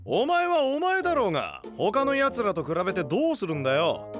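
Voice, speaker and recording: A brash, ambitious knight boss from an anime drama. His rough delinquent talk swings widely in pitch, a little high for a man, with a soft heart under the bluster. Music plays clearly behind him. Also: wildly swooping pitch, fairly quick, full character voice. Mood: gruff, caring. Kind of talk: Japanese anime voice drama acting. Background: background music.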